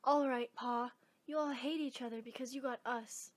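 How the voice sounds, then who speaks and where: A plucky young girl from a radio drama, played by a woman. The voice is very high and earnest, that of a child standing her ground. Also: steady tone, moderate pace, lightly acted. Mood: pleading, defiant.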